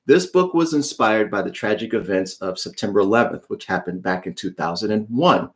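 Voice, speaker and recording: A man's voice: on the low side, expressive, a little fast. Friendly man hosting a live read-aloud. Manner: friendly, chatty, addressing viewers directly. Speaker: children's author and poet.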